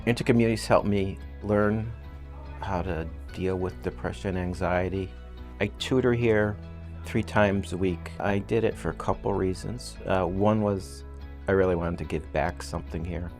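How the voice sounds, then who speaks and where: Really deep-voiced guy talking about tutoring, chill and matter-of-fact, soft guitar playing. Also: fairly even intonation, moderate pace. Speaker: client and volunteer tutor. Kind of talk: personal testimonial.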